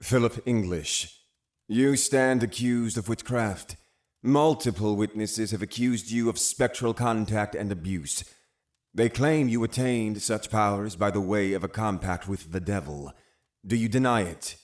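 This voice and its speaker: Man playing a deep-voiced, imperious old-time magistrate. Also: unhurried, close to an everyday voice. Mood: stern accusing. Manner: formal, commanding, condescending. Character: stern scheming older magistrate uncle, pious and imperious. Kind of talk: audio drama acting in period speech.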